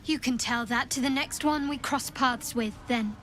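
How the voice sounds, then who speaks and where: Wry, guarded cleric. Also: female voice, high-pitched, moderate pace, a bit bright, slight background noise. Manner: wry, dry, reserved. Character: guarded young female cleric companion.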